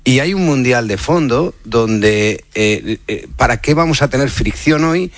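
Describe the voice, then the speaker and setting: A man's voice: medium pitch, lively intonation, a little slow, no echo. A man with an accent from Spain arguing as a football pundit, emphatic and repeating phrases for stress. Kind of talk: unscripted sports debate.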